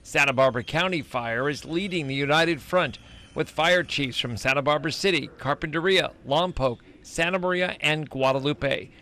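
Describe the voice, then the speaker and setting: A man's voice: on the high side, moderate pace, pushed and bright. An American man of mature age delivering a scripted TV news report as a field reporter, speaking into a handheld microphone. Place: outside a fire station on a street. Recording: outdoor live report with street ambience.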